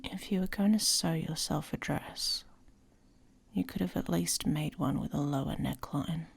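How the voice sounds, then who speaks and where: Woman playing a husky, whispery, taunting she-wolf. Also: low voice, unhurried, full character voice. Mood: teasing, seductive. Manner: teasing, sardonic, often dropping into whispers. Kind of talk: intimate ASMR roleplay.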